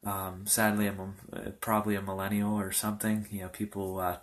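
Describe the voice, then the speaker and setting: A man in his early twenties, a pastor, telling his personal testimony in a halting, earnest way with many fillers and restarts. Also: fairly low pitch, monotone. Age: young adult. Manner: earnest, informal.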